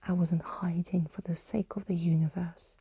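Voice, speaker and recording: A woman's voice: on the low side, flat delivery, normal speed, creaky, warm tone, breathy edge, dry recording, exaggerated voice. A woman voicing a weary, scolding skeleton from a storybook, in a reproachful, wordy whisper. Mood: exasperated.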